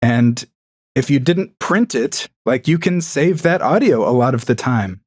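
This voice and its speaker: A man's voice: mid-range voice, expressive, a little slow, darkish tone, husky, dry recording, studio quality. A man chatting casually and enthusiastically as a podcast guest, recording from a home room with acoustic paneling. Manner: enthusiastic, chatty, with frequent fillers. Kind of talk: casual chat.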